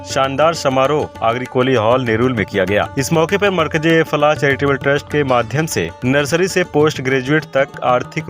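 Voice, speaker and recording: Man reading a formal news voice-over. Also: medium pitch. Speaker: news narrator.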